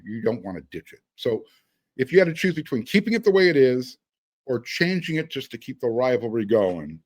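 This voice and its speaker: A man's voice: mid-range voice, no echo. A man hosting a sports podcast, talking casually and reading sponsor spots. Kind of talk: casual sports talk and ad reads.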